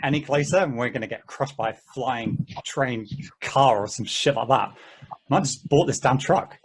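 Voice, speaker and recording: A man's voice: mid-range voice, moderate pace, a little hiss or hum, natural voice, poor audio. A man reading the part of a laid-back, stubborn friend in a casual, slangy play table read. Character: laid-back, stubborn buddy proud of his old truck.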